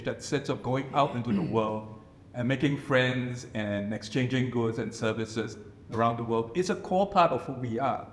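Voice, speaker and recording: A man's voice: mid-range voice, a little hiss or hum. A man from Singapore, speaking thoughtfully on a panel and often restarting his phrases. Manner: thoughtful, restarts phrases, many "you know"s. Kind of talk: reflective panel discussion. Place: reception room at a residence. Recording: roomy live panel audio.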